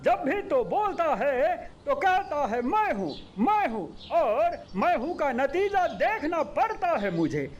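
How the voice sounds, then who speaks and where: A small, grumbling male animal sidekick for a children's cartoon. He sounds extremely high and slow, complaining in a whiny, put-upon way. Faint noise sits behind the voice. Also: very animated, highs cut off, exaggerated voice. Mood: exasperated. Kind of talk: Hindi animal cartoon dialogue.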